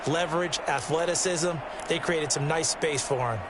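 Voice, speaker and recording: An actor playing a folksy American football analyst giving conversational color commentary in a video game. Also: male voice, on the high side, normal speed, very noisy, natural voice. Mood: enthused, explanatory.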